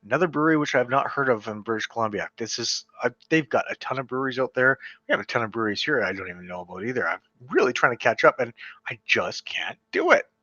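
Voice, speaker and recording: A man's voice: medium pitch, expressive, quick pace, bright, forceful tone, no echo. A man from western Canada casually running through beer award winners, chatty and stumbling over foreign brand names. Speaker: beer reviewer. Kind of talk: casual solo video commentary reading award results. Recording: slightly dull lo-fi sound, dry.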